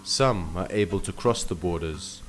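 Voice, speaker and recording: A man with a low voice reads a documentary narration in measured, solemn phrases. Clear guitar music plays underneath him. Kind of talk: scripted narration.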